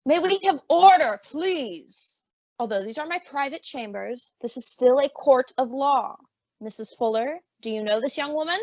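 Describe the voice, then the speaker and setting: A stern, officious judge played by a woman in an old-time radio comedy. The voice is high and formal, with stuffy exasperation. The sound is very dry, with no room to it. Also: lively intonation, moderate pace, dry recording. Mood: exasperated.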